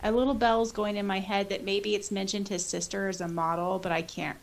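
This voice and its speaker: Female podcast co-host, mid-pitched, casual and animated, giggly, comfortable reading comments aloud and adding loose asides. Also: a little fast, vocal fry, slight background noise. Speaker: podcast co-host and audiobook reader.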